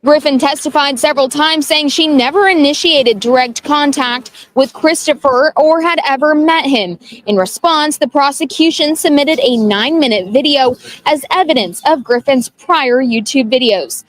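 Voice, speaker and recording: Woman news reporter, pretty high voice, reading a scripted story. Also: moderate pace, faint music behind.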